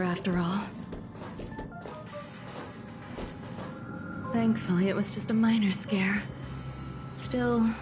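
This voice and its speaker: An actress voicing a calm, courteous woman scientist in a video game, speaking in a measured, formal, understated way. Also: medium pitch, natural voice. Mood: reflective, composed. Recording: music behind the voice. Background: game music.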